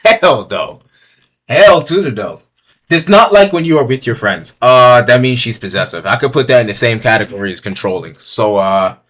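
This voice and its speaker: A young man with a lively, bouncing voice gives blunt, profanity-heavy opinions. He swings from low mutters to loud outbursts as he reads each tier-list item aloud and riffs on it. The delivery is casual and unscripted. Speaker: streamer ranking items on a tier list. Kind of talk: casual commentary.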